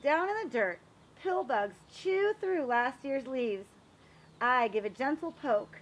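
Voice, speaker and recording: A woman reading a children's picture book aloud in an expressive, animated way, as if showing it to young listeners. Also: high-pitched, takes it slowly, slight background noise. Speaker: read-aloud reader for children.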